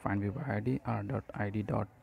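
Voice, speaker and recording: A man narrating a step-by-step programming tutorial, speaking haltingly in short phrases. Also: lowish voice, fairly even intonation, creaky, narrow, tinny audio, a little hiss or hum.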